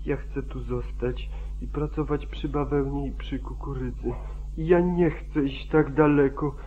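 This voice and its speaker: A man's voice: mid-range voice, steady tone, unhurried, natural voice. Man acting a frightened, pleading young farmhand, phone-like sound. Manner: pleading, repetitive, protesting. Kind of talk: old radio drama acting. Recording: muffled, narrow-band 1940s radio recording.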